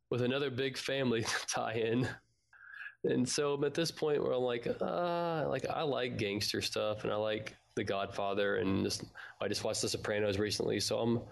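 A man's voice: mid-range voice, gravelly. A man co-hosting a fan podcast, chatting casually about an audio drama in a laid-back, slangy way.

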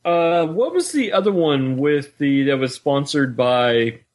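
A man's voice: on the high side, lively intonation, a little slow. A man talking casually as a podcast co-host, with an informal, easygoing manner.

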